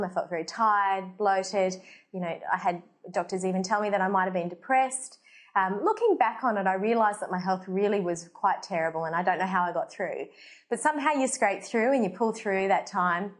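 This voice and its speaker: An Australian woman in her thirties, a doctor who hosts her own show, talks straight to the camera in an earnest, conversational way. Her voice sits at a middle pitch, and she fills her pauses with ums and small restarts. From Portugal. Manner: earnest, conversational, frequent fillers. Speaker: holistic doctor, show host. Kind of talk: personal story to camera. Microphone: facing the camera.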